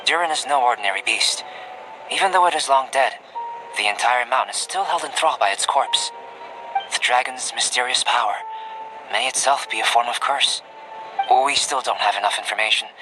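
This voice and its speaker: An actor playing a calm young alchemist in a video game, speaking with polite, formal, detached precision. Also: male voice, medium pitch, normal speed, close to an everyday voice, poor audio. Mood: composed, analytical. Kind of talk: video game cutscene dialogue. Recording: music behind the voice.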